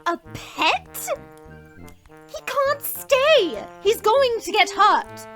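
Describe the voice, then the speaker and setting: A woman acts out a young dragon princess with a very high, lively voice. She is haughty and easily exasperated, scolding in formal, imperious phrases for an audio drama. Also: very slow, faint music behind, heavily acted. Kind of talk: audio roleplay voice acting.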